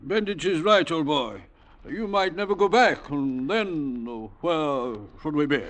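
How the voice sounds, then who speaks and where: An actor playing a flippant, condescending upper-class silly ass in a 1940s radio drama, affected and breezy. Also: male voice, a little high, lively intonation, unhurried, a bit bright. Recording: 1940s radio broadcast recording.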